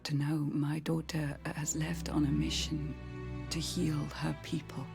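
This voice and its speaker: A frail older mother in a video game, speaks very slowly in a weary, creaky voice. She is gentle, melancholy and formal, with music playing underneath. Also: low-pitched, little pitch movement, heavily acted. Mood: resigned, gentle. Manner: slow, tender, formal.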